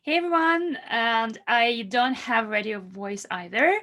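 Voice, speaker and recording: A woman from Belarus speaks, her voice sitting a little higher than most. As a guest on a podcast, she comes across friendly and laughs easily. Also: measured pace, sharp tone. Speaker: podcast guest, SEO specialist. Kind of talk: casual podcast chat.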